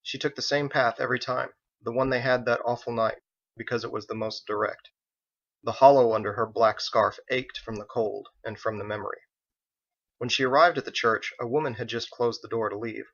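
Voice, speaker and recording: A man reading his own fantasy novel aloud as an expressive, scripted audiobook narration. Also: mid-range voice, highs cut off.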